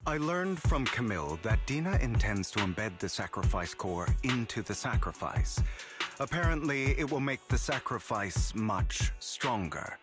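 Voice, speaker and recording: An actor playing a suave, sardonic gentleman thief and con artist in a video game, with a grandiose, silky, deliberate delivery. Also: medium pitch, very animated. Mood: wry, brisk. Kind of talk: dramatic video game voice acting. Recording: background music under voice.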